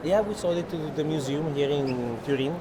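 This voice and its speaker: A man's voice: fairly high pitch, fairly quick, a loud crowd. A man explains things in earnest, as a gallery owner in unscripted conversation, with hesitant phrasing. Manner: earnest, hesitant non-native English phrasing. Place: art fair hall, on a walking tour. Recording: noisy busy indoor fair hall.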